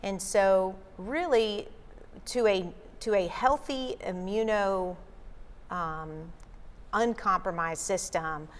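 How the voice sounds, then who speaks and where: A woman appearing as a health guest on a talk show, calmly and politely explaining facts about the flu. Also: mid-range voice, slow pace, long reverb tail. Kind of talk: explanatory interview answers. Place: local TV studio.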